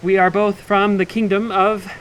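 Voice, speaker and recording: A man acts out an awkward traveler giving a speech in an audio drama. His voice sits a little high and he stumbles and repeats himself with stiff formality. There is light ambient noise on the recording. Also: flat delivery, fairly bright tone, low-quality recording. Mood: flustered, awkward. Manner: halting, stammering, throat-clearing formality. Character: nervous young traveler stammering through a speech. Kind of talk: audio fiction acting.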